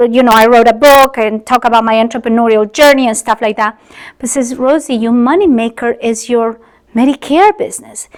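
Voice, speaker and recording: A high-pitched woman in her sixties speaks with a Spanish accent, her native tongue being Spanish. She tells her story in relaxed conversation and slips into a whisper to drive a point home. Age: 60s. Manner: animated storytelling, dropping to a whisper for emphasis. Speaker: insurance business owner. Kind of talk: casual chat.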